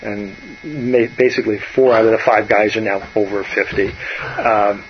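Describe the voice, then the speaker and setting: A man, a bass player chatting casually and rambling on, often trailing off softly. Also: steady tone, moderate pace, highs cut off, slight background noise. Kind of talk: casual musician chat.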